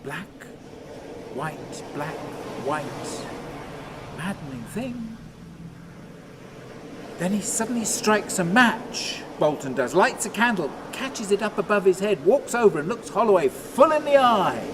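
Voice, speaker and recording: A slow, high-voiced tormented older man. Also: very animated, noisy background, full character voice. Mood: hushed, brooding. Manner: halting, fragmented, swinging from hushed to anguished cries. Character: tormented, haunted older man telling himself stories. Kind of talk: radio play dramatic monologue.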